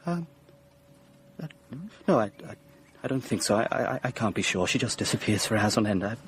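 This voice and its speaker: An actor playing an obsessive young painter from a rich Parisian family, hesitant and fervent, in a radio drama. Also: male voice, lowish voice, expressive, fairly quick, natural voice. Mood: anxious, evasive.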